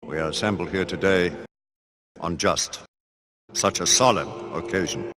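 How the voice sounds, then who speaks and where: An actor playing the solemn elder leader of a knightly order, speaking in grave, ceremonial oratory for a video game drama. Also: male voice, low-pitched, a little slow, over music. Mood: mournful, formal.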